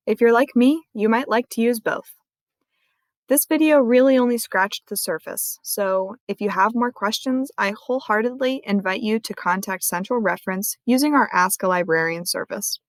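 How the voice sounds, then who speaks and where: A woman librarian walking viewers through a screen-recorded tutorial in a clear, friendly explaining manner. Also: medium pitch, no room sound.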